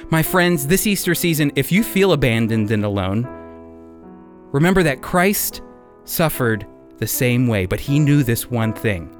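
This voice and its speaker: Higher-voiced American man teaching earnestly on radio. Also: no room sound, studio quality. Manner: earnest, emphatic, deliberate phrasing with rhetorical questions. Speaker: radio host and Bible teacher. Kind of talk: devotional sermon-style radio teaching. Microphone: close studio microphone.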